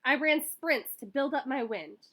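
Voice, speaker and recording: A woman reading a children's picture book aloud in an expressive, animated way that acts out the story. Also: sits high, a little slow. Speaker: school staff member reading aloud.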